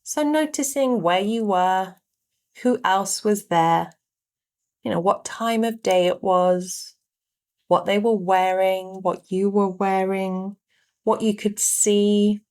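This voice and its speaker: A woman guiding a meditation, giving gentle instructions in short phrases with pauses between them. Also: mid-range voice, fairly even intonation, clear, resonant tone. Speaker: meditation guide. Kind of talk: guided meditation narration.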